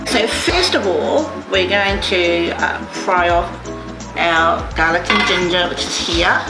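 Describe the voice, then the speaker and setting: A woman's voice: lowish voice, lively intonation, slow pace. A middle-aged woman from New Zealand giving a cheerful, encouraging cooking demonstration in a kitchen. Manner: upbeat, encouraging, talks while cooking. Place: kitchen indoors. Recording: echoey kitchen with loud music bed.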